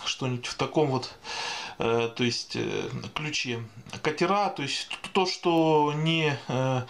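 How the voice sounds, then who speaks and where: A man giving an informal explainer voice-over as a video blogger, speaking in a halting, hesitant way. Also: medium pitch. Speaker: video blogger answering viewer questions.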